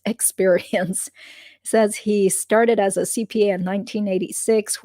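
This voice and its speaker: A woman hosting a legal commentary livestream, chatting casually with fillers and asides. Her voice is slightly croaky. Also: mid-range voice, warm tone, no echo, clean studio sound.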